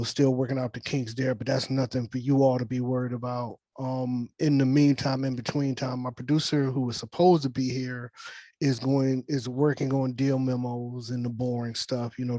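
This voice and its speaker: A man's voice: medium pitch, mellow, dry recording. A man speaking as a film director in an informal team briefing on a video call, rambling and reassuring. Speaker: film director leading a table read. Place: virtual meeting on a video call.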